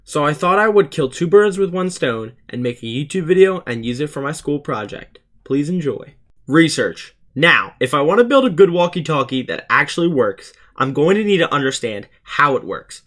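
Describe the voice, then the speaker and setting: A man's voice: fairly high pitch, big pitch swings, moderate pace, a bit bright. Teenage boy narrating a school project. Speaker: student YouTuber presenting a school project. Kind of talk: scripted explainer narration.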